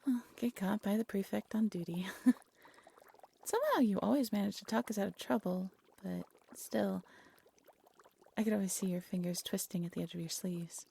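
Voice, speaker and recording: A woman playing a devoted, lovesick exiled alchemist in an intimate fantasy audio roleplay, tender and soft-spoken, often dropping into a whisper. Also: mid-range voice, expressive, moderate pace, gravelly, slight background noise. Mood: fond, teasing. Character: devoted, lovesick exiled alchemist practising dark magic, gentle.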